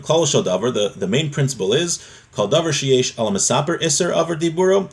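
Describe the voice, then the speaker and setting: Man teaching an informal religious class. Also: mid-range voice. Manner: explanatory, restating points with everyday examples. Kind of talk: informal religious text lesson, reading and explaining.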